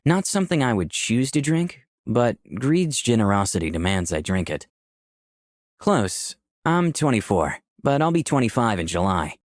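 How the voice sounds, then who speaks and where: A man's voice: mid-range voice, sing-song, moderate pace, no echo, studio quality, close to an everyday voice. A man voicing a candid, easygoing young protagonist who narrates a visual novel in the first person. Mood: candid, friendly. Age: young adult. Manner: clear scripted storytelling.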